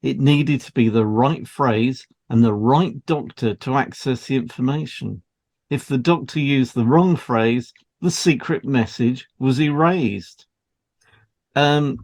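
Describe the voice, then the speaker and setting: A man with a medium-pitched voice co-hosts an educational podcast. He reads prepared material in an explanatory way, as one teaching a subject to trainees. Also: a little slow, a little mellow. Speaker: podcast co-host, hypnotherapist. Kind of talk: scripted educational podcast read aloud.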